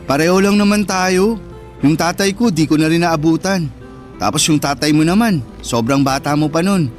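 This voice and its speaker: A man's voice: fairly high pitch, normal speed, close to an everyday voice. A man with a Filipino accent playing a sensitive, neglected older brother in an earnest, emotive radio drama style. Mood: wistful. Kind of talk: Tagalog radio drama acting. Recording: music under the voice.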